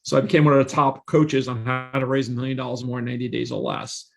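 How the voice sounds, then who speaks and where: Gravelly-voiced man in his forties. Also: mid-range voice, steady tone, fast, over music. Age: 40s. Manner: confident, salesy, emphatic repetition. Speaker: real estate investor and guest. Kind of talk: podcast interview storytelling.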